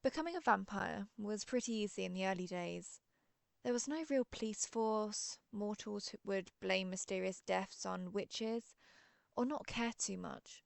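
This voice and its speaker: A woman's voice: mid-range voice, measured pace, vocal fry, no room sound. A woman voicing a friendly, theatrical century-old vampire in an audio roleplay, chatty and playfully grand. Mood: confiding, wry. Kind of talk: scripted audio roleplay monologue.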